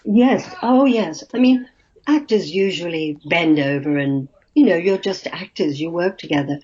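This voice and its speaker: A woman's voice: lowish voice, normal speed, mellow, breathy edge. An older woman from Australia, now, a guest actress telling stories in a chatty way and laughing easily. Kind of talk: casual interview chat. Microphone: video call. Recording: muffled video call audio.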